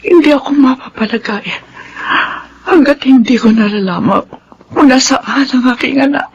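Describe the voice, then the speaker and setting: A woman's voice: high-pitched, moderate pace, muffled recording, slight background noise. A woman with a Filipino accent playing an anguished, long-suffering mother in a radio drama, with emphatic delivery. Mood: quiet resolve. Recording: radio drama with music underneath.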